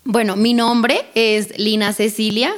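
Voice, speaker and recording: A woman's voice: fairly high pitch, steady tone, takes it slowly. Bubbly young woman with a Bogotá accent. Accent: Bogotá Spanish. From Colombia. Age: 20s. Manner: bubbly, laughing, rambling with fillers. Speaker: singer guest. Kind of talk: casual interview.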